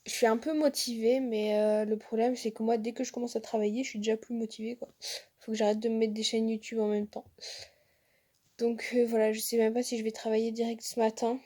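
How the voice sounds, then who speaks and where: A young woman vlogs from her bedroom into a phone at arm's length. Her voice is mellow and a little high, roughened by a slight hoarseness from a sore throat. She rambles casually, padding her sentences with fillers and restarts. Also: flat delivery, a little fast. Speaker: vlogger. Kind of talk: casual vlog chat. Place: bedroom at home. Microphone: phone held at arm's length.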